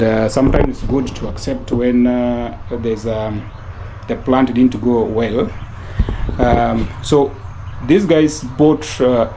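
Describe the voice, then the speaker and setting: A man from Kenya casually showing a house in a vlog, talking haltingly with many fillers and restarts. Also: medium pitch, background noise. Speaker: vlogger touring a house. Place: outdoors at a rural house. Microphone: handheld camera.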